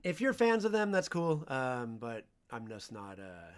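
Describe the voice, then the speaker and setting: A man's voice: medium pitch, sing-song, measured pace. A man, a ramen critic, casually reacting to videos on a long livestream with offhand running commentary.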